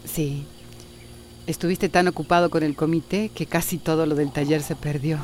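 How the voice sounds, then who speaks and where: Low-voiced, gentle Central American wife, played by an actress. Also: female voice, fairly even intonation, normal speed, slight background noise, lightly acted. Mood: tender, teasing. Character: gentle, affectionate wife of the mayor. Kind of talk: radio soap opera acting.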